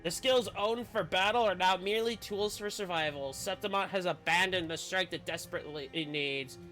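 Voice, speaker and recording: A man's voice: sits high, normal speed, sharp tone, soft background music. A man voicing a weary, bitter cast-out gladiator who now hunts to survive, in a video game story. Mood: bitter, resigned. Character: weary cast-out gladiator turned wary hunter.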